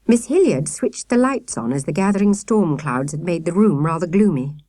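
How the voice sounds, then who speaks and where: A woman reading a children's audiobook in an expressive storytelling manner. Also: lowish voice, moderate pace, no echo, clean studio sound. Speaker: audiobook narrator. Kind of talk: scripted children's story narration.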